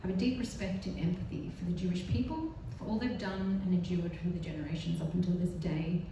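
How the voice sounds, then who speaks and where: A woman's voice: fairly low pitch, fast talker, noisy background. A woman from Australia with an Australian accent giving an earnest, determined prepared speech on a panel, with light self-deprecating asides. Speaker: panel speaker. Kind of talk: prepared speech at a live event. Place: large synagogue hall. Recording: very echoey hall from far off.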